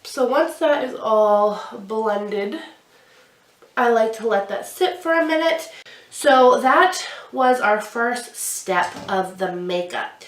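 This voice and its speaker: Young woman, stuffy from a cold, fairly high voice, roomy sound, a bit far from the mic. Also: expressive, slow pace. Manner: chatty, explaining each step while applying makeup. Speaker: beauty vlogger. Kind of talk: casual makeup tutorial. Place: small indoor room with a glittery backdrop.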